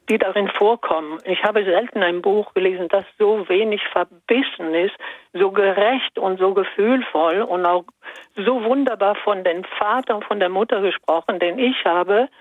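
A woman in her eighties from Italy, whose first language is Italian, calls in to a radio show and speaks hesitantly with many pauses and fillers. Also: mid-range voice, no echo. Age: 80s. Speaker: caller. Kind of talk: radio call-in. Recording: dry phone line.

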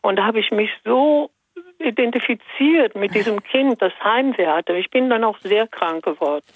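A woman in her eighties from Italy, whose first language is Italian, calls in to a radio show and speaks hesitantly with many pauses and fillers. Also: mid-range voice, animated, husky, no echo. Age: 80s. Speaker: caller. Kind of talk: radio call-in. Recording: dry phone line.

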